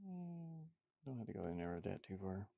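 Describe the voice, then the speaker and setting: A man's voice: fairly low pitch, big pitch swings, normal speed, creaky, dry recording, phone-quality sound. A man talking casually to himself as he draws, absent-minded, with playful sing-song asides. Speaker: game artist streaming a drawing session. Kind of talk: casual muttering while drawing.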